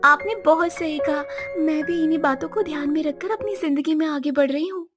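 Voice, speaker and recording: Young daughter-in-law played by a woman, story cartoon: very high voice, earnest, dignified, music underneath. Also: fairly quick, natural voice. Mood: polite, friendly. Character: young short-statured daughter-in-law, wounded but dignified. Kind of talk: animated moral-story dialogue. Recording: music behind the voice.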